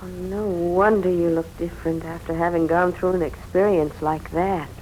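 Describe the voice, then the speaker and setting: A woman's voice: lowish voice, steady tone, normal speed, darkish tone, slight background noise, lightly acted, rough recording. An actress playing a principled career woman meeting an old love in a 1940s radio drama, on an old recording. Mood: sympathetic.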